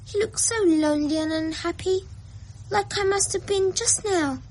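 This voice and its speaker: A high, light voice of a wistful little boy. He pleads in a childlike, plaintive way in an old radio play, with a faint hiss behind him. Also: moderate pace, a little mellow, low-quality recording. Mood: pleading. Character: lonely imaginative young boy, wistful. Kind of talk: radio drama acting.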